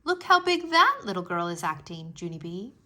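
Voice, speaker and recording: A woman voicing a firm, coaxing mother of a young girl in an expressive children's storybook reading. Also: fairly low pitch, normal speed. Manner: coaxing, emphatic. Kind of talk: children's storybook read-aloud.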